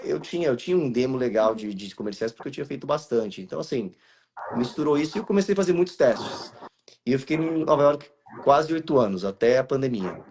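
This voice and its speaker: A man's voice: medium pitch, expressive, quick pace, darkish tone, slight background noise. Brazilian man chatting with many restarts. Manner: rambling, with many restarts and fillers. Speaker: guest actor and voice actor. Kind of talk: casual interview over a live stream.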